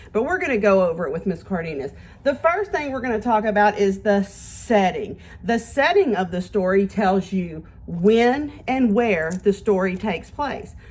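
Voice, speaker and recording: A woman teacher reads aloud to little children with a very animated, swooping melody. She takes her time, speaking slowly and clearly addressing her young listeners. She encourages them and puts simple questions to them as she goes. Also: mid-range voice, slight background noise.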